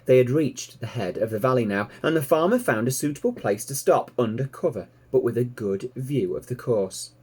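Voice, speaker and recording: Expressive man reading a storybook, mellow. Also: medium pitch, moderate pace, hardly any pauses, slightly rough. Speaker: teacher reading to pupils. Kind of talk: expressive children's storybook narration.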